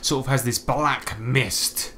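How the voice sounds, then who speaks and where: A man's voice: medium pitch, steady tone, takes it slowly, bright, forceful tone. A man narrating a tabletop role-playing game as game master, on a noisy home recording.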